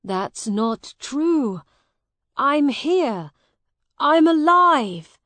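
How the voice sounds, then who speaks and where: A woman's voice: on the high side, slow pace, dry recording. A woman voicing a long-lost brother in an audiobook, a pleading, indignant young man who speaks emphatically. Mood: shocked, insistent. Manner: emphatic, imploring. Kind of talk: graded audiobook character dialogue.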